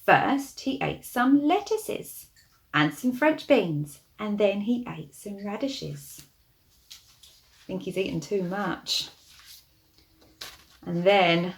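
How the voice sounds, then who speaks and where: A woman storyteller reading a storybook expressively to young children, with hushed asides and gentle questions to the listeners. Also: medium pitch, lively intonation, slow pace, slight background noise.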